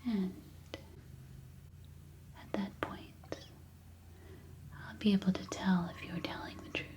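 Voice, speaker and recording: Woman playing a slow, whispering, mysterious alien telepath. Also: medium pitch, little pitch movement, a little mellow, lightly acted. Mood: intent, hushed. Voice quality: breathy whisper. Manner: slow, deliberate, close-up delivery. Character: mysterious telepathic alien cave dweller, commanding yet soothing woman. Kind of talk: sci-fi ASMR roleplay. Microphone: close binaural microphone.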